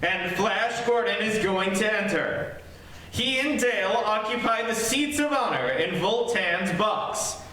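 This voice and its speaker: A man's voice: sits high, expressive, measured pace, bright, forceful tone, heavily acted. A man narrating an old-time radio serial in a dramatic announcer manner. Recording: distant, very echoey hall, faint noise.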